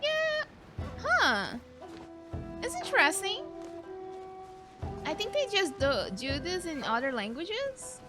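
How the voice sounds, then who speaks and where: High-pitched, animated woman streaming over music. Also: takes it slowly, long pauses. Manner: chatty, reactive. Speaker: gaming streamer. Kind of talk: casual let's play reaction commentary. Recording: game music clearly behind the voice.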